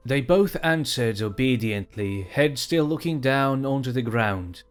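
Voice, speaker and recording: A man reading a dark fantasy story aloud as narrator, slow and measured. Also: mid-range voice, animated, clear, resonant tone, soft background music.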